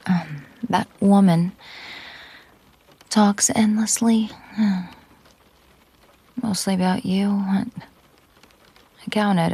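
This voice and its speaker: A woman playing a possessive, commanding mafia boss girlfriend in an intimate roleplay audio drama, speaking softly and deliberately, often slipping into a whisper. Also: fairly low pitch, vocal fry, husky, heavily acted. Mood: dry, reassuring. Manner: slow, deliberate, coolly possessive.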